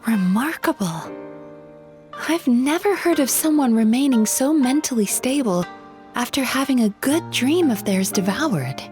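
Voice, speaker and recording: A polite, gentle young yokai woman who eats dreams, speaking softly and apologetically in a somewhat high voice. Faint game music plays underneath her. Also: expressive, unhurried. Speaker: spa worker and psychologist. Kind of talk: video game voice acting.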